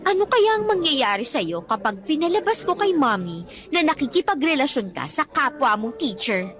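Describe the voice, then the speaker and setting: A bratty teenage schoolgirl in a radio drama, high and very animated. She is sassy, taunting and defiant, with soft music playing underneath. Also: normal speed. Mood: smug, threatening. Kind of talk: Tagalog radio drama acting. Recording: music bed behind the voice.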